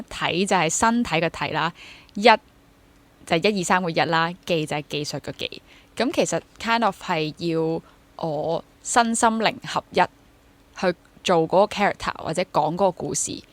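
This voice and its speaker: A woman's voice: lowish voice, a bit bright, dry recording. A young woman with a Hong Kong Cantonese accent chatting casually as an interview guest, mixing in English words. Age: young adult. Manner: code-switches into English words. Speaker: interview guest, theatre actor and drama teacher.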